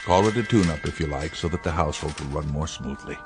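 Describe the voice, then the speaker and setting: A man playing a deep-voiced, controlling inventor. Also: fast talker, over music. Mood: persuasive. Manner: commanding, coaxing. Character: inventor father, middle-aged man, controlling and persuasive. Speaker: scientist. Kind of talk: radio drama acting.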